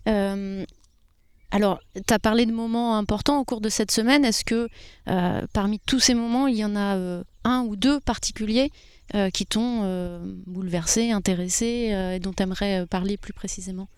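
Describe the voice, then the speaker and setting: A woman interviewer asking a question and pausing hesitantly as she feels her way through it. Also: medium pitch, clean tone, no room sound, clean studio sound.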